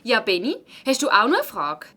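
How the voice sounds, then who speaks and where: A woman playing a brisk, encouraging primary school teacher in a children's radio play, instructing a class in Swiss German. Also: fairly high pitch, huge pitch swings, pushed and bright, heavily acted. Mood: encouraging, explanatory. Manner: instructive, orderly, addressing a class.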